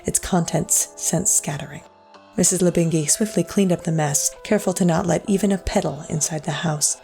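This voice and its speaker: An actress playing a solemn storyteller, narrating a scripted dramatic tale in a measured, literary way. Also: female voice, on the low side, vocal fry, dark tone. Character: solemn female storyteller of a sad ghost tale.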